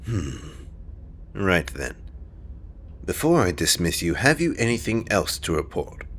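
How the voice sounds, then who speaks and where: A man's voice: sits low, very animated, measured pace, a bit bright, a little hiss or hum, full character voice. A man playing a haughty, disdainful king in a fantasy audio drama, with a pompous, condescending manner. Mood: dismissive. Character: haughty, disdainful king, imperious and old-fashioned.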